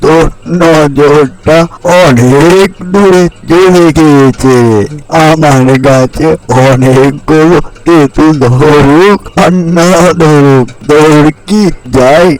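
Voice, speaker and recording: A man voicing a scolding old village granny in a cartoon fairy tale, with drawn-out, exclamatory phrasing. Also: on the high side, darkish tone, low-quality recording. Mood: scolding, grudging. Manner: slow, drawn-out, exclamatory delivery. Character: scolding old village granny guarding her tamarind tree.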